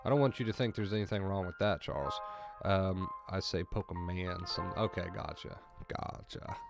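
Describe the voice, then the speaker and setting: A man hosting a livestream about anxiety, reading chat and thanking viewers by name. He speaks in a calm, reassuring way, repeats key phrases, and sounds congested, clearing his throat often. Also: low voice, moderate pace. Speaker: livestream host coaching on anxiety. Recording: music clearly audible behind.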